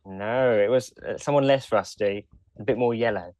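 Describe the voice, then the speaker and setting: A man's voice: lowish voice, normal speed, a little mellow, no room sound. A man co-hosting a podcast in casual conversation. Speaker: podcast co-host. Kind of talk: casual chat.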